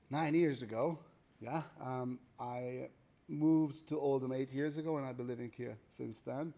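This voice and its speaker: A man's voice: mid-range voice, mellow. A man in his thirties from Hungary, speaking in a conversational way and often checking in with "right?" and "you know". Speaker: guest artist.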